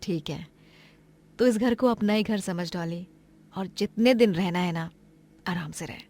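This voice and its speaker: A woman voicing a kind, generous lady of the house in a cartoon moral story, chatty and gently chiding. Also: lowish voice, a little slow. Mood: welcoming.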